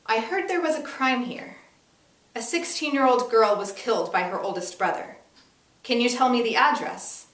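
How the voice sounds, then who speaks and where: A woman's voice: fairly high pitch, normal speed, fairly bright tone, lightly acted. Echoey, insistent young woman reporter acted by a woman. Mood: incredulous, probing. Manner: confrontational, incredulous. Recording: echoey room, distant mic.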